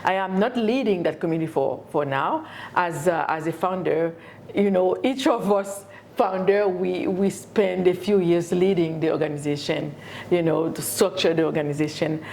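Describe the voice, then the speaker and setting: Middle-aged woman from Haiti, low voice, halting, lots of fillers, faint background noise. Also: vocal fry, a little mellow. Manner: halting, many fillers and repeated words. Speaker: education professor and community leader. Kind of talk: interview answers.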